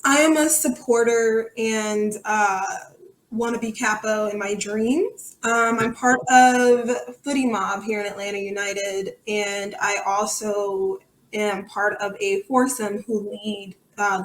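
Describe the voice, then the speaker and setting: A woman's voice: mid-range voice, steady tone, takes it slowly, a little hiss or hum. A woman chatting as a podcast guest in an informal, self-deprecating way, with echoey room sound. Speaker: podcast guest, soccer supporter. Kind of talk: casual interview chat.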